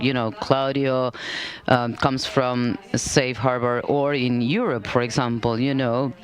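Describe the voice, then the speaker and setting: A woman who works as a company policy manager, speaking on a conference panel in a conversational way, full of fillers like "like" and "you know". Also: very deep voice. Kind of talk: panel discussion talk.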